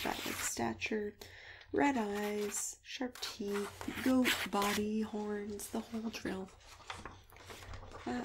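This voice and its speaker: Soft whispery ASMR woman with creaky voice. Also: a little high, noisy background. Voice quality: soft, breathy, often dropping to a whisper. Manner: gentle, chatty, hesitant, reassuring. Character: friendly female matchmaker for monsters. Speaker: ASMR host playing a matchmaker. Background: computer keyboard typing and clicks.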